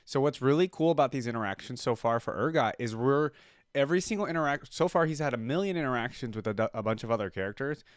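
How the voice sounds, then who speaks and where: A man's voice: mid-range voice, expressive, fast talker, dry recording, studio quality. A man hosting a reaction video and commenting in a casual way.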